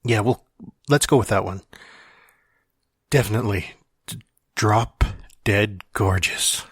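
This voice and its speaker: A man's voice: fairly low pitch, slow, a bit bright, breathy edge, dry recording, clean studio sound, heavily acted. A man playing a wry, confessional dying man reading out his farewell letter in an audio drama. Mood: gentle, wry farewell. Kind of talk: dramatic monologue in fiction podcast.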